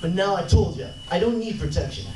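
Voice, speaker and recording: A man acts out a frightened old blind street beggar in an amateur radio drama. He pleads and protests in a high voice. The sound is distant and echoey, with noise behind it. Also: a little fast, close to an everyday voice. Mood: pleading. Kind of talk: amateur live radio play at a convention. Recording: roomy, distant, noisy live panel recording.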